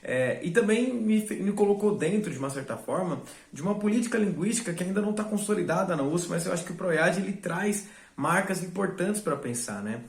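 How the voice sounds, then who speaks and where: A man talks quickly and thoughtfully about his teaching experience, doubling words to stress a point. His voice carries a noticeable room echo. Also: sits high, lively intonation, creaky. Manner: reflective, repeats for emphasis. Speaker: student teaching assistant. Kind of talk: testimonial.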